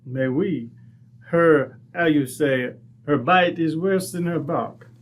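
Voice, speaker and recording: A man playing a proud, courteous French viscount with a French accent and halting, formal English in a radio drama. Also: on the high side. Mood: charming, courtly. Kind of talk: old-time radio drama acting.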